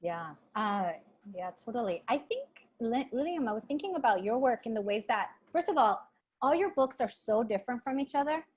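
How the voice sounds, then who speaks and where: Female book panel host, higher register, casual, halting, wordy questioner. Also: expressive, a little hiss or hum. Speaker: book club moderator.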